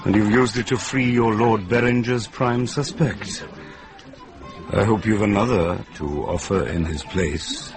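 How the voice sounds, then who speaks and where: An actor playing a fair-minded Welsh lord sitting in judgment in a radio drama, stately, questioning and authoritative. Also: male voice, fairly low pitch, a little slow, over loud music. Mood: satisfied, authoritative.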